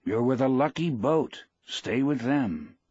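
A weathered old fisherman, acted by a male audiobook narrator, proud but gentle. He speaks in measured, plain words, in a close, dry recording. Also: mid-range voice, expressive, breathy edge, dry recording, dull audio, voice pushed up. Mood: gentle, resigned. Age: older.

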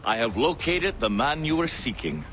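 An actor playing a polite, formal naval officer with old-fashioned courtesy. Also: male voice, medium pitch, sing-song, moderate pace, a bit bright, a little hiss or hum. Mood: courteous. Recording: music behind.

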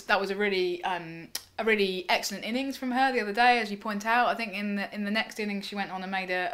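A woman with a croaky, cold-affected voice, hosting a casual sports podcast discussion. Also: mid-range voice, bright, forceful tone. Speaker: podcast host.

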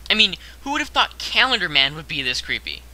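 A man's voice: a little high, very animated, brisk, bright, forceful tone, rough recording. A young man narrating a scripted top-ten countdown as host, in a conversational way that addresses the viewer directly.

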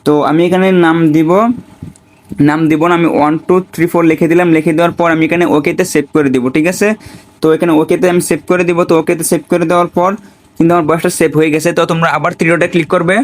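Very fast, high-voiced man. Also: little pitch movement. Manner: repetitive, with frequent check-in tags. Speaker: gaming tutorial creator. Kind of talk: informal step-by-step screen tutorial narration.

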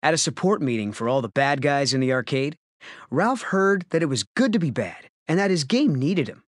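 A man narrating a children's story from a script, with expressive storytelling emphasis. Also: fairly high pitch, normal speed, dry recording, studio quality.

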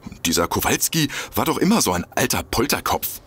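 An actor playing an eager, gullible amateur detective in a comedic audio drama, earnest, excitable and a bit hesitant. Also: male voice, mid-range voice, brisk, slightly rough. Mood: earnest, conspiratorial. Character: eager, gullible male amateur detective obsessed with lizard people.

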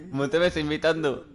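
A young man, chatting casually while streaming a game with friends, laughing often and teasing them. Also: fairly high pitch. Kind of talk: casual gaming chat with friends.

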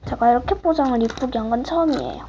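A teenage girl softly showing off swap items for viewers, her voice a little rough and her manner apologetic. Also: high voice, big pitch swings, fast. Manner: soft-spoken, apologetic, hushed at times. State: voice a bit rough. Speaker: vlogger. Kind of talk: casual show-and-tell of swap items. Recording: muffled home recording with clear background hiss.

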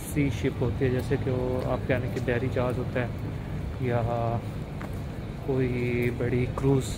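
A man's voice: medium pitch, monotone, measured pace. A man with a Pakistani Urdu accent walking and talking through a casual travel vlog, loose and chatty with frequent fillers. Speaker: travel vlogger. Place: outdoors at a large urban complex. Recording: loud outdoor noise behind voice. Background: loud urban street noise and traffic.

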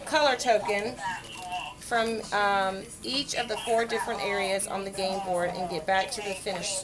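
A woman's voice: medium pitch, fairly even intonation, moderate pace, a crowd in the background, rough recording. A woman in her thirties, a mother at a kitchen table at home, patiently walking her young daughter through a board game, reading the rules aloud and counting the moves.

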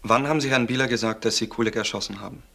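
A stern investigator in a crime radio drama, in a roomy, echoing recording. His medium-pitched voice is pointed and accusing. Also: steady tone, racing, sharp tone. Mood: probing. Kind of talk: German crime radio play acting. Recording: roomy, echoing studio sound.

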